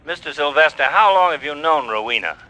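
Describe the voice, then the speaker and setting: A man playing a brisk, blunt police detective in an old-time radio drama. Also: fairly high pitch, animated, sharp tone. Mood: interrogating. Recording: noisy, humming vintage radio recording.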